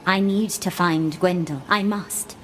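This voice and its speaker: A woman playing a gentle, grieving blind keeper of old magic in a video game, speaking in hushed, solemn, lyrical short phrases. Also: lowish voice, unhurried, slight background noise, natural voice. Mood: sorrowful. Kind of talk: video game character acting.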